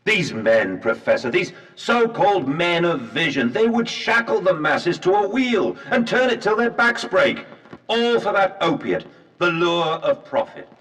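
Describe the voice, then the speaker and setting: Distant, reverberant, grandiloquent industrialist. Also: male voice, sits high, sing-song, a little slow, fairly bright tone, full character voice. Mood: scornful, ranting. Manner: grandiloquent, oratorical. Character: visionary male industrialist, proud, bitter, obsessive. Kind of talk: scripted game voice acting, period diction. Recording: distant, long hall reverb.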